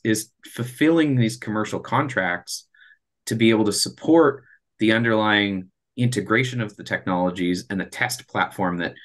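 A man's voice: lowish voice, a bit bright. A man in his thirties giving an earnest, explanatory answer on a video call, often restarting his words. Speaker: presenter, space project developer. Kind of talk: explanatory presentation and Q&A. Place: small room at home or office, on a video call. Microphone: video call computer microphone.

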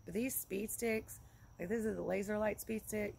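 A woman's voice: medium pitch, steady tone, moderate pace, a little mellow. A woman in her thirties giving a casual, rambling product review to camera outdoors, in an informal way that often repeats itself. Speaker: vlogger reviewing fishing gear. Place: outdoors in a leafy yard by a log building. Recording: clear outdoor background noise.

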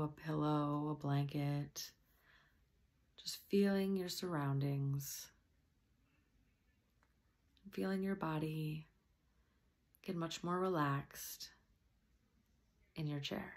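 A woman softly and gently leading a guided meditation, at times slipping into a breathy near-whisper. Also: low voice, fairly even intonation, slow, pauses often.

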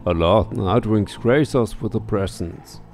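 A mellow-voiced man streams a video game from home, talking at a slow pace. He mutters to himself, reads on-screen text aloud and swears casually. Faint game music plays behind him. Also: on the low side. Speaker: let's play streamer. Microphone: headset.

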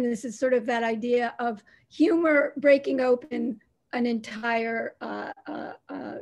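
A woman interviewing an artist, speaking haltingly with many restarts. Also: fairly high pitch, gravelly. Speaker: interviewer, editor. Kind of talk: conversational art interview.

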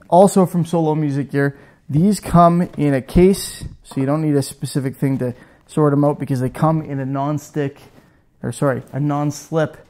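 A man's voice: mid-range voice, a little mellow. A man in his thirties hosting a casual woodworking demo in his garage workshop, chatty and self-joking, on a lapel mic. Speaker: woodworking channel host.

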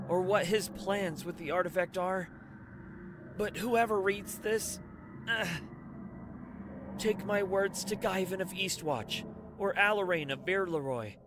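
Slow, solemn loyal servant played by a man. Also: high-pitched, noisy background. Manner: grave, halting, earnest. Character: solemn, loyal dying servant leaving last words. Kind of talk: dramatic video game dub monologue.

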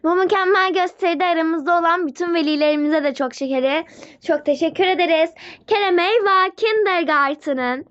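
A woman's voice: extremely high pitch, fairly quick. A woman voicing a polite kindergarten show announcer, rattling off formal thanks in a doll-game roleplay. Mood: formal, grateful. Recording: muffled audio under loud music. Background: loud music.

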